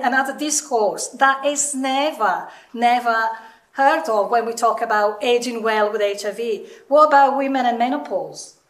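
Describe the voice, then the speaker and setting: A middle-aged woman with a Southern European accent, giving an informal seminar talk in a candid, emphatic manner. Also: mid-range voice. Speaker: seminar speaker, researcher. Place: indoor seminar room with seated audience. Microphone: microphone on a stand. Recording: echoey room, some distance from mic.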